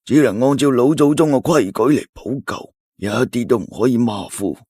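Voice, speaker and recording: A man doing a husky stern old village elder. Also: mid-range voice, normal speed, a little mellow, dry recording, studio quality, lightly acted. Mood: grave. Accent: Cantonese.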